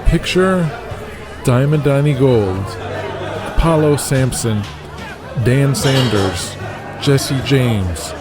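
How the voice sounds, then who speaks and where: A man acting an eager, excitable young wrestling upstart in an audio drama. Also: mid-range voice, takes it slowly, long pauses, over music, a crowd in the background, voice pushed up. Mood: eager, rallying.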